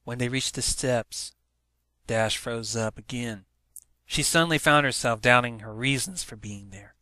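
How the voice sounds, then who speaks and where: Male narrator, medium pitch, dramatic scripted storytelling, dry recording. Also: measured pace, bright, forceful tone, dry recording. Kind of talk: scripted story narration, fan fiction read-aloud.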